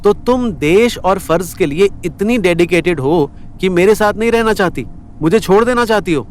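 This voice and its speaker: A man's voice: high-pitched, expressive, moderate pace, a little hiss or hum. A man acting an ardent, persuasive lover in a romantic audio story. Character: ardent, pleading male lover, restless and persuasive.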